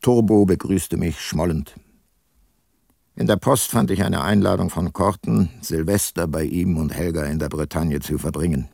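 An actor playing a brooding, resolute older detective in a radio drama, speaking gravely and deliberately. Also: male voice, low voice, a little mellow, no echo, clean studio sound. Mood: sombre, reflective. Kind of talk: radio drama dialogue and first-person narration.

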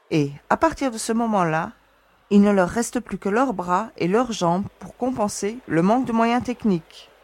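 A woman's voice: medium pitch, no echo, lightly acted. A woman playing a cool-headed space explorer. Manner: calm, reasoning, commanding. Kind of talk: audio drama acting.

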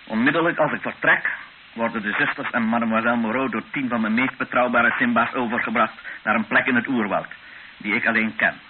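A man's voice: mid-range voice, a little fast, natural voice. Een man die in een hoorspel een formele legerkapitein speelt, zakelijk en kortaf. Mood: firm, curt. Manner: clipped, matter-of-fact. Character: curt, formal Congolese army captain, secretly humane. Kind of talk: radio play acting. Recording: muffled, hissy old radio recording.

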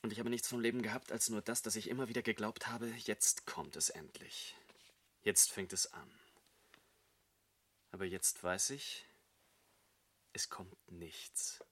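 A man's voice: lowish voice, unhurried, long pauses, a bit bright, husky. An actor playing an embittered, despairing jobless man of thirty in a German radio drama, brooding and sarcastic. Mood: resigned, despairing. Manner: bitterly sarcastic, brooding, emphatic.

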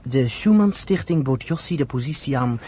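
A man narrating a radio play as an expressive, deliberate storyteller. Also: mid-range voice, slight background noise, lightly acted.

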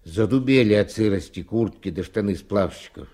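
An older man playing a kindly, fatherly old Siberian timber rafter with folksy speech and a husky voice in a Soviet radio drama. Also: fairly low pitch, moderate pace, dark tone, close to an everyday voice. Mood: tired, gentle.

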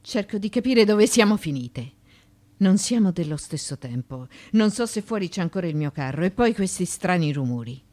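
A low-pitched woman's voice for radio theatre, performed by an actress. She is a foreign-born mother in ancient Athens, wry and weary. She teases, murmurs and dodges questions. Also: lively intonation, normal speed, a little mellow, lightly acted. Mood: amused, pensive. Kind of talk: Italian radio theatre acting.

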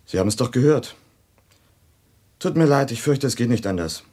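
Actor as a wry, husky grown son. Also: male voice, mid-range voice, animated, normal speed, dark tone, lightly acted. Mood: cool, polite. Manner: laconic, amused, slangy. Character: wry, casual grown son of a quarrelling family. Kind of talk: German radio drama acting.

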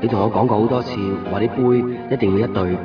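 A male actor plays a melancholy man pining for a lost woman, with a lowish voice and a Hong Kong Cantonese accent. He speaks wistfully, as in a nineties radio drama, a little distant from the mic with music underneath. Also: fairly even intonation, quick pace, dull audio, natural voice. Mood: wistful longing. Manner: wistful, introspective. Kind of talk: radio drama monologue and narration.

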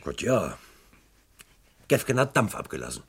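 Gravelly, wry police chief. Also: male voice, lowish voice, very animated, unhurried, heavily acted. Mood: wry, dismissive. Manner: dry, dismissive, sardonic. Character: wry, unruffled senior police officer. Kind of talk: German radio crime drama acting.

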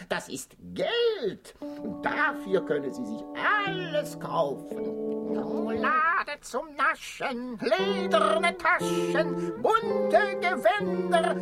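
An actress playing a clever, lecturing parrot in a children's radio play, theatrical and sing-song. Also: female voice, high voice, heavily acted. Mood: gleeful, mocking. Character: clever, lecturing female parrot, animated storybook companion.

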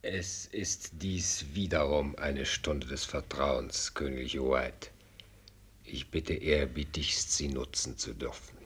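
An aged state minister at court in a radio drama. His voice is extremely low and very husky, and he speaks slowly. He is ceremonious, deferential and discreet, halting between formal phrases. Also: steady tone, a bit bright, full character voice. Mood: solemn, deferential. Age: older. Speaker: actor in a radio play. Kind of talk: German radio drama acting.

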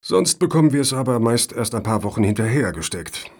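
A man's voice: medium pitch, animated, fairly quick, darkish tone, breathy edge. A man acting in a radio satire as a pedantic, suspicious foundation juror, officious and wordy. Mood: dry.

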